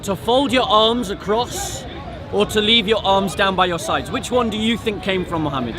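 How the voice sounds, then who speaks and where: Man, high-pitched voice, insistent debater, repetitive phrasing, outdoor public park, busy crowd behind. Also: moderate pace. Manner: insistent, repeats himself. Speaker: street debater. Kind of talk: open-air religious debate, argumentative. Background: crowd chatter.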